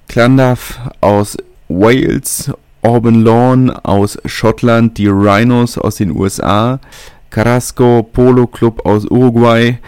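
Man, podcast host, lowish voice, casual rambling delivery with fillers, dry close sound. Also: darkish tone, dry recording. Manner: casual, thinking aloud with many fillers. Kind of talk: solo podcast monologue.

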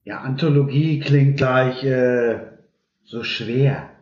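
A man with a medium-pitched voice chats bluntly and informally as a podcast host. The recording is roomy and somewhat muffled. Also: takes it slowly, a little mellow. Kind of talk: casual podcast chat. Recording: dull, roomy, echoing sound.

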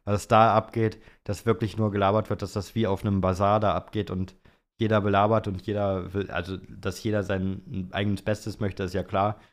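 Low-pitched young man, casual podcast co-host. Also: flat delivery, moderate pace. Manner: colloquial, slangy. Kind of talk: casual chat about Formula One.

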